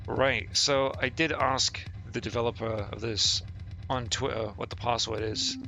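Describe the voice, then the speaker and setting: A man's voice: mid-range voice, steady tone, unhurried, creaky, sharp tone, faint music behind. A man giving casual, sweary and sardonic commentary as he plays a game and reads its text aloud. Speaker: game streamer.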